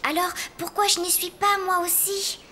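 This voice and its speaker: A woman's voice: extremely high pitch, level tone, normal speed, pushed and bright, slight background noise. A woman voicing a young, earnest and insistent animal girl in a French children's cartoon. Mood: worried. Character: little girl animal twins, young hospital patients, plaintive and stubborn.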